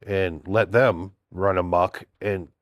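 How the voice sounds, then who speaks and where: A man's voice: low-pitched, fairly even intonation, slow, dry recording. An older man with a stuffy, congested voice from a cold, giving a blunt, indignant opinion monologue in a casual vlog. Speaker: political vlogger.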